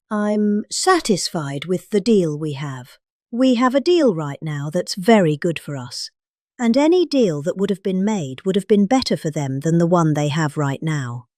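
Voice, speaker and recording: A middle-aged woman with a British accent reads a political speech aloud. Her delivery is theatrical, with highly varied intonation, peculiar pauses and overdone emphasis. Her voice sits at an average pitch in a very dry recording. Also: darkish tone, no room sound. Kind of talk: dramatic reading of a political speech transcript.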